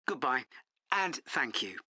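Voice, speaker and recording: Low, bright-voiced polite woman customer. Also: sing-song, slow, no echo, studio quality, exaggerated voice. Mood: appreciative. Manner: polite, appreciative. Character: polite female customer making a booking enquiry. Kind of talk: scripted enquiry dialogue.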